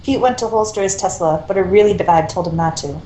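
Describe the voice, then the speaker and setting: A woman narrates a story, reading aloud in an unpolished amateur way. Her pitch is in the middle range. The room adds a clear echo. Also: fast, dark tone. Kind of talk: reading fan fiction aloud, narrating and voicing dialogue. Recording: roomy, echoing home recording.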